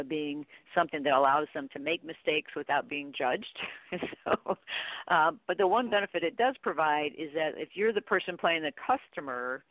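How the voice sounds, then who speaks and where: Female guest expert, informal and anecdotal, with vocal fry and narrow telephone sound. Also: low voice, no room sound. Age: middle-aged. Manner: informal, rambling, acts out quoted dialogue. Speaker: guest expert, training company CEO. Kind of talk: conversational interview answers with anecdotes. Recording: tinny, dry phone-line audio.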